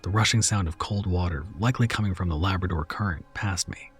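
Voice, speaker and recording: A man narrating a first-person horror story in a measured, somber way. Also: low-pitched, soft background music.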